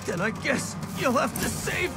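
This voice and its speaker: An actor playing an anguished, idealistic young superhero and heartbroken protégé, impassioned and strained, in a dramatic video game cutscene. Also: male voice, very high-pitched, big pitch swings, measured pace, exaggerated voice. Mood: anguished, shouting. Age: young adult. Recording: loud music behind the voice. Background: loud dramatic music.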